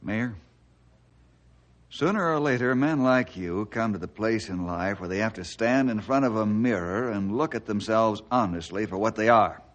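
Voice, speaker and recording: An actor playing an upright, steady forest ranger, earnest and authoritative, in an old-time radio adventure. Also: male voice, lowish voice, moderate pace, dull audio, lightly acted. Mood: stern, reproving.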